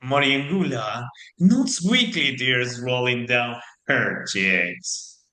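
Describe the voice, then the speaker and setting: A male narrator tells a scripted story theatrically. His delivery is extremely slow and halting, with a sharp, bright tone, in an echoey recording. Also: medium pitch, expressive. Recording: echoey room, faint music.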